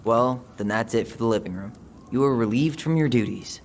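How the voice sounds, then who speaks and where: A man's voice: medium pitch, brisk, a little hiss or hum, lightly acted. An actor playing a wry, guarded but sincere young man in a video game, with an American accent. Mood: wry, teasing. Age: young adult. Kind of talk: naturalistic game dialogue.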